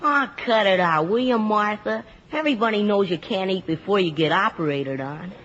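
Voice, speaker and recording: An actress playing an irritable, indignant youngster in a 1950s radio family comedy. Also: female voice, medium pitch, animated, normal speed, a bit bright. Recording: muffled old radio broadcast.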